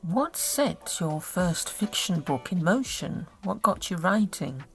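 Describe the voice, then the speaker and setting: Woman host asking questions slowly, animated intonation, faint music behind. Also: lowish voice. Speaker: interviewer.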